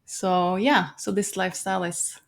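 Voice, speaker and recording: Norwegian woman, relaxed, lowish voice. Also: moderate pace, vocal fry. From Norway. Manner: relaxed, loose with fillers. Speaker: guest adventurer. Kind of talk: casual interview chat.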